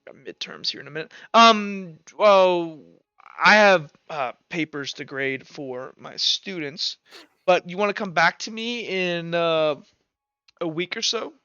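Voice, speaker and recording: A man's voice: sits high, a little slow, bright, forceful tone, smooth, dry recording, lo-fi sound, full character voice. Man playing a brash, boozy professor. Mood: offhand. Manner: brash, swearing, rambling with fillers. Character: blunt hard-drinking male professor, frank.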